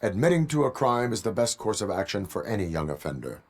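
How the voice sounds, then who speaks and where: A man voicing a stoic cyborg police officer in a video game, speaking in a formal, stilted, deadpan way. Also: fairly low pitch, fast talker. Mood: stern, measured.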